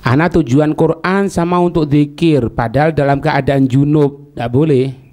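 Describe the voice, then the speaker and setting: A man giving an Islamic lesson, reading Arabic phrases and explaining them in deliberate, halting phrases. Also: on the high side, a little mellow. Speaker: Islamic teacher.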